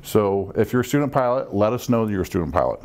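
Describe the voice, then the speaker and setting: A middle-aged man explaining things as an air traffic controller on a panel, measured and instructive. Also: on the low side, very animated, a little mellow. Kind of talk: panel discussion, explanatory talk. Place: small meeting room, around a conference table.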